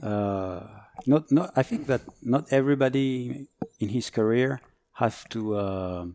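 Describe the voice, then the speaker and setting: A man in his forties from France with a French accent, a firefighter guest talking casually, halting and searching for words. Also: mid-range voice, warm tone, dry recording. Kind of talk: casual interview chat.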